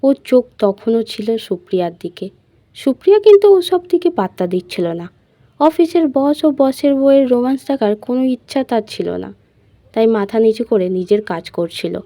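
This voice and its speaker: A woman's voice: on the high side, lively intonation, normal speed, dark tone, dry recording. A woman reading a scripted love story as its narrator.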